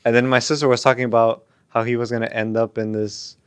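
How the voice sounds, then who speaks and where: Young male co-host, casual and slangy, mid pitch, voice dropping low and soft, dry close mic. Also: flat delivery, fast, clear, resonant tone, no room sound. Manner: laid-back, slangy, drops into a quiet mumble. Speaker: podcast co-host. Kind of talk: casual chat.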